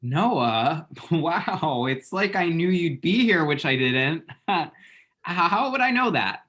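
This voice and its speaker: A man playing a flustered, lovesick young man at a comedy screenplay read, halting and awkward. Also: fairly high pitch, lively intonation, bright, forceful tone, exaggerated voice. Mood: nervous, showing off. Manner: halting, awkward, self-mocking.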